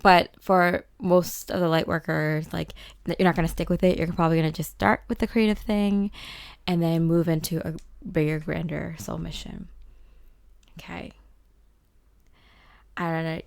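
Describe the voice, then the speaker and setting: A young woman reading tarot and channeling a forecast in a slightly raspy voice, rambling casually with many fillers and restarts. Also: lowish voice, fairly even intonation, moderate pace, dry recording, studio quality.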